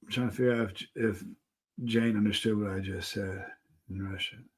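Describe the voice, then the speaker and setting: A middle-aged man hosting a live stream, chatting casually and telling anecdotes in an animated way, acting out the things people said. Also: on the low side, normal speed, husky. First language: English. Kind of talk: casual chat answering live viewers, telling travel anecdotes.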